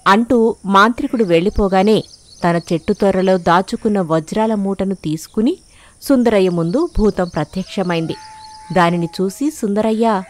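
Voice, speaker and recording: A woman's voice: mid-range voice, moderate pace. A woman narrator reading a scripted moral tale in storybook style.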